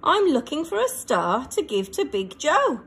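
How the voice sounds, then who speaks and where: A woman voicing a small, stubborn young female rocket in a children's storybook, excitable, determined and emphatic. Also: sits high, a little slow, full character voice. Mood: earnest.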